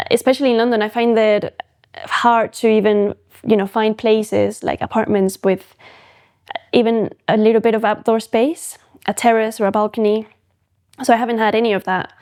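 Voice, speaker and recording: A woman's voice: fairly high pitch, dark tone. A young woman from Mexico, a photographic artist and podcast guest, talking thoughtfully in a casual interview and often restarting her sentences. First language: Spanish.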